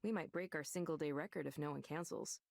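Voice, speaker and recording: A woman's voice: low-pitched, fast, creaky, slight background noise. An actress playing a matter-of-fact, easygoing woman who supervises counselors in a visual novel game. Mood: encouraging, casual.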